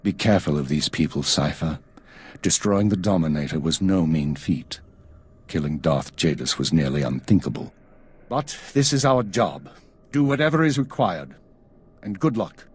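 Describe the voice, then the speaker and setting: An actor playing a stern intelligence chief in a video game, with a husky voice and a clipped, authoritative, measured delivery. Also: male voice, deep voice, lively intonation. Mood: grave, commanding. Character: stern male spymaster, commanding and coolly measured.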